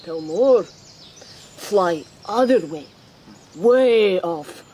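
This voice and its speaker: A woman's voice: lowish voice, animated, extremely slow, dark tone, a little hiss or hum. An actress playing a brash but good-hearted female seabird who speaks in clipped, broken phrases in a radio drama. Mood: reporting, weary. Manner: clipped pidgin phrasing with foreign-sounding grammar.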